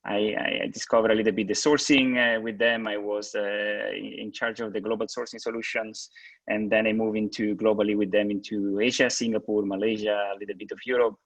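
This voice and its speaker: A man who grew up in Switzerland, a company executive answering questions in a webinar, talking haltingly with many restarts. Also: lowish voice, flat delivery, no room sound, low-quality recording. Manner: hesitant, many restarts and fillers. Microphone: video call.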